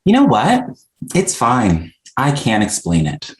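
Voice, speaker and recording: A man's voice: medium pitch, wildly swooping pitch, slow, slight background noise. A man acting a frank, exasperated young non-binary dinner guest in a comedy script table read, talking in a candid, wry way. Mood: patient, explaining.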